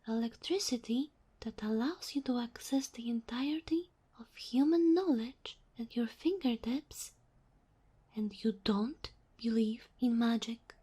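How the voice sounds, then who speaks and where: A woman plays a sweet young kitsune girl in an ASMR roleplay, high-pitched and unhurried. She speaks softly and breathily, often dropping close to a whisper. Her manner is naive and curious, full of hesitant wonder. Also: slightly rough. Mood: puzzled, teasing. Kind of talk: ASMR roleplay, soft acted monologue.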